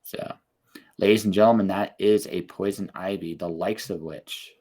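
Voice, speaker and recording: A man hosting a podcast interview over a video call, upbeat and encouraging, talking in quick bursts. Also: deep voice, fairly even intonation, warm tone, breathy edge. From Canada. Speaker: podcast host. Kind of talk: casual interview chat.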